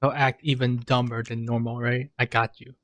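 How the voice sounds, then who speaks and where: A man giving casual, sarcastic commentary in a deadpan manner as he plays a video game. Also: medium pitch, moderate pace, no room sound. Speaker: gamer commenting on his playthrough.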